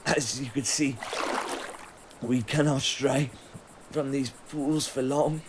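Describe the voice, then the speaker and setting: A man playing a grave, wary water creature in a fantasy audio drama, speaking formally and deliberately. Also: mid-range voice, steady tone, noisy background, natural voice. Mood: grave, despairing. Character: grave, suspicious male Zora of a parched river.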